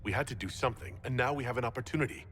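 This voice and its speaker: An actor playing a level-headed, plain-spoken hero and leader in a fantasy video game. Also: male voice, mid-range voice, very fast, a bit bright, a little hiss or hum, natural voice. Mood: considered. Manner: plain-spoken, reasonable, questioning. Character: level-headed male hero leading an inquisition. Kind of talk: video game character acting.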